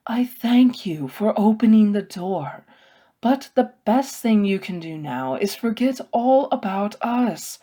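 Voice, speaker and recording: A woman voicing a worried, protective male scholar in a storybook, with a slow, earnest, admonishing manner. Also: medium pitch, animated, warm tone, pitched above the performer's own voice. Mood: urgent, admonishing.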